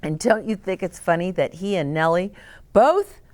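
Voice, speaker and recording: A woman hosting storytime for children, reading aloud and pausing to explain gently and ask the listeners questions. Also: fairly low pitch, lively intonation. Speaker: children's storyteller, host of a read-aloud channel. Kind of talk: read-aloud storytime with asides to young children.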